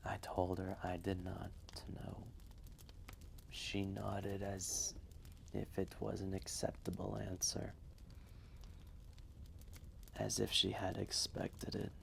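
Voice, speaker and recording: An ancient dragon, voiced by a man, speaks low and very slowly in a deep crackling growl. He is wise, gentle and fatherly, murmuring his tale in intimate, hushed roleplay storytelling. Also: monotone, heavily acted. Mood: reflective, solemn. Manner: hushed, breathy, measured, with long pauses.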